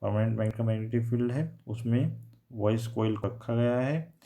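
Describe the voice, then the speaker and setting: Guy giving a tech lecture, mellow gravelly voice, goes over each point again. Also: mid-range voice, a little fast. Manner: repeats and rephrases points, mixing in English technical terms. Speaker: teacher. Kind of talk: explanatory technical lecture.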